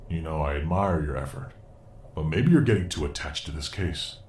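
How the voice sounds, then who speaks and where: An actor playing a proud, disgraced starship captain and suspected villain, formal, composed and condescending, in a science fiction audio drama. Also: male voice, very deep voice, lively intonation, normal speed, a little mellow, a little hiss or hum. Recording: roomy echo, music underneath.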